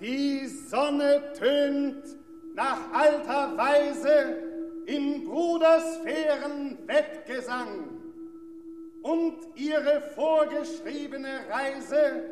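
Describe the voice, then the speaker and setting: A man's voice: very high-pitched, very slow, full character voice. A man performing a solemn theatrical reciter who declaims classic verse in a grand manner. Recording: distant, echoing hall with music.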